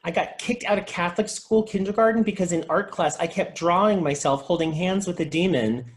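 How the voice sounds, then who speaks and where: A man playing an excitable, idealistic and naive young video store clerk, earnest and dramatic, in a comedy table read. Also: sits high, fairly quick, close to an everyday voice. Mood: wounded, pleading.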